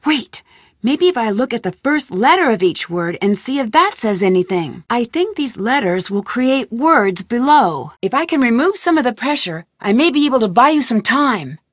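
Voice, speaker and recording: A woman's voice: a little high, sing-song, moderate pace, no echo, clean studio sound. A woman voicing a curious, determined young woman detective in a game, thinking aloud as she searches for clues. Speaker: game heroine. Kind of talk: game voice lines.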